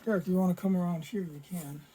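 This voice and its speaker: A man's voice: high-pitched, fairly even intonation, quick pace, dark tone, a little hiss or hum. A man casually explaining hobby modeling techniques step by step in a workshop. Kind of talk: casual hands-on tutorial. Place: basement workshop with paint booth.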